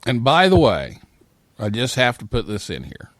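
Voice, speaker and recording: A man's voice: medium pitch, wildly swooping pitch, normal speed, fairly bright tone. An American man casually hosting a live talk show.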